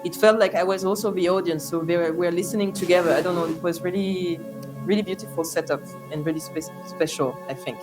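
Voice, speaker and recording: A young woman with a French accent speaks in a thoughtful, hesitant way, her low-pitched voice often pausing to restart or search for a word. Clear music plays underneath her. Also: steady tone, rough recording. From France. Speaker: interview guest, sound artist. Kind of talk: reflective conversational interview.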